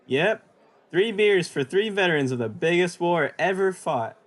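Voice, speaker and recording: A man's voice: high-pitched, lively intonation, moderate pace. A man playing an eager young American soldier home from war, hearty, in 1940s radio style. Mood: hearty, proud.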